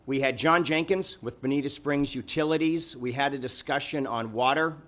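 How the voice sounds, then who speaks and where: A man's voice: mid-range voice, moderate pace, a bit bright. Man chairing a city council meeting. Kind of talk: informal meeting remarks and updates.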